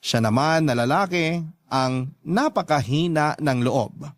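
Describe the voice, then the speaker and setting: A man's voice: on the high side, lively intonation, measured pace, dry recording. A man narrating a letter in the first person as a scripted, dramatic radio story.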